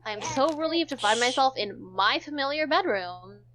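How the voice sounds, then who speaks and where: A woman's voice: sits high, expressive, normal speed, a crowd in the background. A woman streamer reading a visual novel aloud, narrating dramatically and chatting casually. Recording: dull, muffled lo-fi audio.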